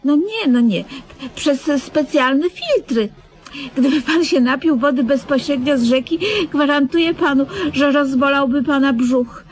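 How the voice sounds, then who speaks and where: A woman's voice: high voice, measured pace. An actress playing a fussy, doting woman who lectures earnestly and gets flustered in a comic radio play. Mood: patient, flustered. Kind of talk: comedic radio play acting. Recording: slightly noisy old radio recording.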